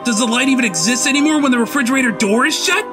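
Someone acting a manic, high-pitched deranged young man. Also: rapid-fire, music in the background, lightly acted. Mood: brooding, sardonic. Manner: theatrical ranting, bursts into shouts. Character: manic, theatrical, self-important deranged young man ranting. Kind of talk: dramatic comic dub voice acting.

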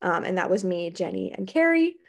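A young woman with an American accent presents like a librarian talking through slides. Her voice sits at a medium pitch. She explains things informally and hesitates with ums, speaking into a computer microphone on a video call. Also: very animated, fairly quick. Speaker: academic librarian presenting at a conference. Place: small indoor room on a video call.

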